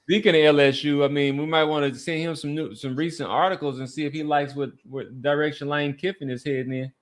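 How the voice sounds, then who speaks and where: A man's voice: on the high side, fairly even intonation, a little fast. A man hosting a casual sports talk podcast, speaking emphatically and often repeating his phrases for weight.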